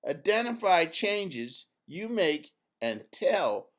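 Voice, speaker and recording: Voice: medium pitch, big pitch swings, takes it slowly. A storybook narrator voicing a confident girl scientist who teaches in a firm, friendly, emphatic way. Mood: instructive. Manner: emphatic, instructive, clearly enumerating.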